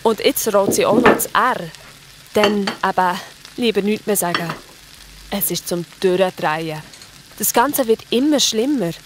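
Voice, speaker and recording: A woman's voice: mid-range voice, noisy background. A woman with a Swiss German accent narrating a scripted children's story in a colloquial storytelling manner.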